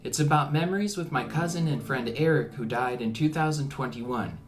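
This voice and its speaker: A man giving a reflective, measured scripted narration, as a musician talking about his own work. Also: medium pitch, slight background noise. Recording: ambient music behind the voice. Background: ambient background music.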